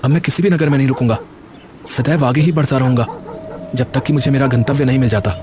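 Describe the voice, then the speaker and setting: A man's voice: mid-range voice, fairly even intonation, fast, noisy background, lightly acted. A man playing a poor, humble young goatherd in a cartoon story, earnest and questioning in a formal way. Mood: weary, pondering. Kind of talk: Hindi cartoon story character dialogue. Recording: music behind the voice.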